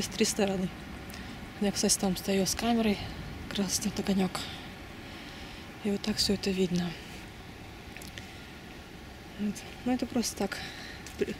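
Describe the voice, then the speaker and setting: A woman in her thirties from Uzbekistan talks into a handheld camera as she walks outdoors. Her voice sits in a middle range, and she chats loosely, full of fillers and restarts. Traffic and street noise are clearly heard behind her. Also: mid-range voice. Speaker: vlogger. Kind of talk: casual walking vlog. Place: city street at night, outdoors. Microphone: handheld camera mic. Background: traffic and street ambience.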